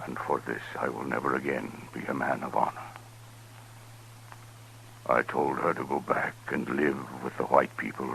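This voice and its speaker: Very deep, slow, solemn old-radio chief. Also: male voice, vocal fry, a bit bright, husky, exaggerated voice, rough recording. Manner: solemn, formal, deliberate phrasing. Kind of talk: 1950s radio western acting. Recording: 1950s radio recording with faint noise.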